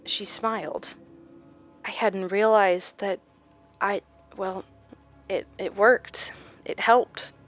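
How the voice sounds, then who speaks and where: An actress playing a shaken woman recounting her captivity in a horror audio drama, halting and dramatic with deliberate pauses. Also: medium pitch, steady tone, faint music behind, close to an everyday voice. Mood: tense, haunted. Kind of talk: first-person horror audio drama narration.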